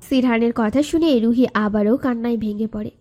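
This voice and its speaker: A woman's voice: a little high, normal speed, warm tone. A woman narrator reading a scripted romantic story. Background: background music.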